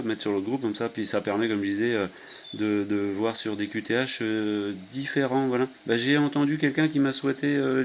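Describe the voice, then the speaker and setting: A man chatting casually and haltingly as a CB radio operator. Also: mid-range voice. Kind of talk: casual radio contact chat. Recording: muffled lo-fi CB radio, clear noise.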